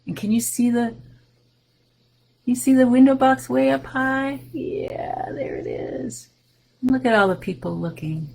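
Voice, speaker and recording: A woman in her sixties, a children's librarian, reading a picture book and showing plants to young children over a webcam from a room at home, in a sing-song voice with excited rises. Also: fairly high pitch, creaky, low-quality recording. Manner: warm, sing-song and slow, with big excited rises for kids. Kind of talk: storytime reading and show-and-tell for children. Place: home room with bookshelves, indoors. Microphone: webcam video call.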